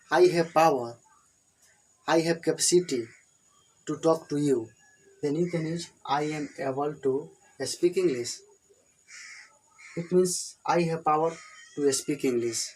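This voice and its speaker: An Indian-accented man, a native Hindi speaker, gives a whiteboard lesson on English grammar. His voice sits a little high for a man. He talks in simple phrases and goes over each point again and again. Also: darkish tone, a little hiss or hum, rough recording. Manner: repetitive, plain explanations. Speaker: English teacher.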